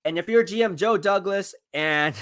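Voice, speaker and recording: A man live-streaming football draft commentary, excitable and hyping each pick, his voice going hoarse. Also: high-pitched, moderate pace, no echo, clean studio sound. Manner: excitable, hypes picks, reads chat aloud. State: losing his voice.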